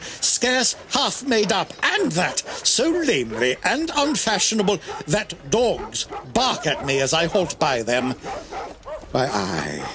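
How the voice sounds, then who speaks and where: A man playing a deformed, bitter, scheming royal villain in a theatrical Shakespearean soliloquy, with deliberate delivery. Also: mid-range voice, a bit bright, slight background noise. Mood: sardonic, brooding. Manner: deliberate, wide swings from outburst to murmur.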